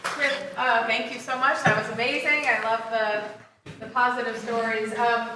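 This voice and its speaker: A woman moderating a Q&A, soft-spoken and quick, with fillers. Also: on the high side, fairly even intonation. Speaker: event moderator. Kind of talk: hosting a Q&A. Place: lecture hall. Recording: echoey hall, voice picked up from far off, noisy.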